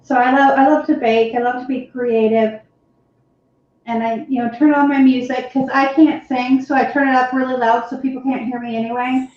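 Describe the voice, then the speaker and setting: Chatty lady rambling while she paints, higher voice, super echoey dull-sounding room. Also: steady tone, normal speed, darkish tone. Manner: rambling, chatty, frequent laughs. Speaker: co-host, painting on stream. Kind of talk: live-stream chat while painting.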